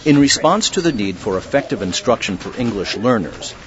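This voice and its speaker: A man giving scripted documentary narration in a measured, informative manner. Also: mid-range voice, big pitch swings, slight background noise. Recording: dull, muffled sound.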